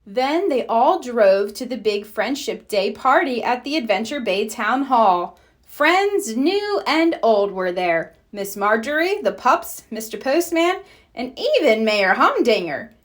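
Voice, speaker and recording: A woman narrating a children's storybook aloud in an expressive read-aloud style. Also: on the high side, takes it slowly.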